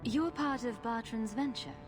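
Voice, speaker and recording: A woman's voice: fairly high pitch, a little slow, natural voice. An actress playing a guarded young adventurer woman in a video game, questioning in a cool, dry manner. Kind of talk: video game cutscene dialogue. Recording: music behind the voice. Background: background music.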